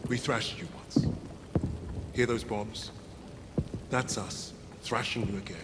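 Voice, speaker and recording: An actor playing a proud, stoic British soldier held captive in a video game, restrained and quietly defiant with a British accent. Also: male voice, fairly low pitch, steady tone, slow. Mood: grim defiance. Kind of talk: cinematic video game acting. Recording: rain and heavy ambient noise.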